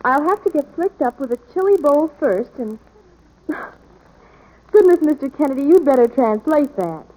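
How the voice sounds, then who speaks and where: A woman's voice: sits high, very animated, moderate pace, warm tone, rough recording. A woman co-hosting a scripted commercial dialogue in 1940s radio style. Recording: old 1940s radio broadcast.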